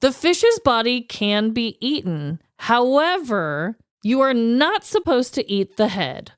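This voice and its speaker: Animated, high-voiced woman co-hosting a podcast. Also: clear, resonant tone, no room sound, clean studio sound. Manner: informal, jokey, quick to laugh. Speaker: podcast co-host. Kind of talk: casual comedic chat with a prepared list.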